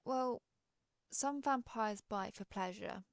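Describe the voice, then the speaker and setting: Creaky, genteel vampire lady, acted by a woman. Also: mid-range voice, slow pace, no echo. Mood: mildly exasperated. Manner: genteel, conversational, apologetic, gently wry. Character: friendly, chatty, polite female vampire, centuries old, mildly exasperated. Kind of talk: scripted roleplay audio, one side of an interview.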